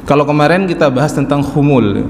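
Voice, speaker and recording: 40s guy teaching religion in a mosque, calm, says "ya" a lot, big echoey hall. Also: a little high, fairly quick, a little hiss or hum. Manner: calm explaining, frequent affirming "ya". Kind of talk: mosque study-circle lecture.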